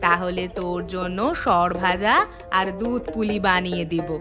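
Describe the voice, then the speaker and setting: A woman voicing a kindly old village grandmother in a cartoon, affectionate and unhurried, lingering over her words. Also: fairly low pitch, steady tone, natural voice. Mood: fond, reassuring. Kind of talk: Bengali cartoon voice acting. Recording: music behind the voice. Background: background music.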